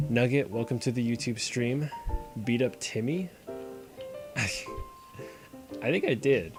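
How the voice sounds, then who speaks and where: A man streaming a card game, musing aloud over his moves and answering viewers in chat. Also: on the low side. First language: not Korean. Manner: talks to himself while playing, reads and answers chat. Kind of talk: casual live-stream commentary while gaming. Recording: music playing behind. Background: background music.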